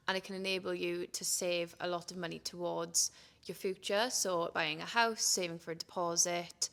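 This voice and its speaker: Low creaky-voiced woman. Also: fairly bright tone. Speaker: company staff member, films jobs for social media. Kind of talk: podcast discussion.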